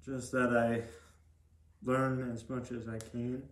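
Young man, flat delivery, echoey room. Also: medium pitch, slow. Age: young adult. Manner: plain-spoken, brief answers. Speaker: interview guest. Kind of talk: casual interview answers. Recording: roomy echo.

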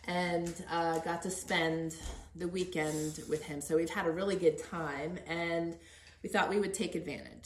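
A middle-aged woman hosts a casual podcast in an earnest, encouraging way. Her pitch sits somewhat low for a woman, and the room adds a clear echo around her voice. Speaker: podcast host. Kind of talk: casual conversational podcast interview.

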